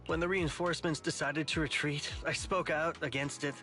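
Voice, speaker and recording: Earnest, guilt-ridden bright-voiced client. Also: male voice, on the high side, steady tone, normal speed, faint music behind. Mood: remorseful. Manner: earnest, hesitant. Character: apologetic, guilt-ridden younger brother, earnest civilian client. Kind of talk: dramatic game voice acting.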